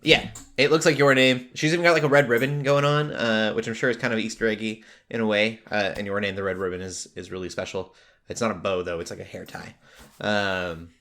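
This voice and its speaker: A man hosts a relaxed, conversational podcast. His voice sits fairly low but has a sharp, bright edge. He talks like an enthusiastic fan making recommendations to listeners. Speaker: podcast host. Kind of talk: casual pop culture podcast chat.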